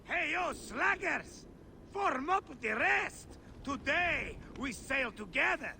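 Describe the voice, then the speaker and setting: An actor playing a ship captain shouting orders to his rowers. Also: very high-pitched, sing-song, slow pace, creaky, full character voice. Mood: commanding. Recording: game audio with loud battle noise.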